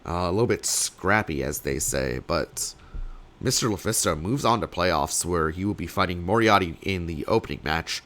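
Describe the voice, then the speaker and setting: A young man, slightly sick and losing his voice, casting a card game tournament alone in rambling play-by-play full of fillers, with sudden bursts of excitement. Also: deep voice, moderate pace. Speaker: tournament caster. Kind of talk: solo play-by-play commentary.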